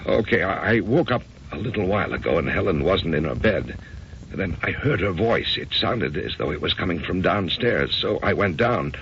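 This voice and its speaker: An actor playing an older, grieving husband in an old-time radio drama, anguished and apologetic, speaking haltingly and broodingly. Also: male voice, low voice, animated, a bit bright. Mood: troubled, apologetic. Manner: hesitant, brooding, halting delivery. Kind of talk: old-time radio drama acting and first-person narration. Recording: dull, noisy old radio recording.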